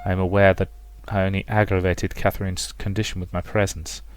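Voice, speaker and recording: A man voicing a resolute, gentle, grieving man in a soft, measured way for a visual novel reading, with music behind. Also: low voice, flat delivery, no room sound, natural voice. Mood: resigned, hurt.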